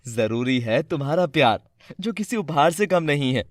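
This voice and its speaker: An actor playing a gentle, loving young husband in a cartoon moral story, with a soft, earnest, sentimental delivery. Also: male voice, on the high side, expressive, normal speed, no echo, studio quality, close to an everyday voice. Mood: tender, reassuring.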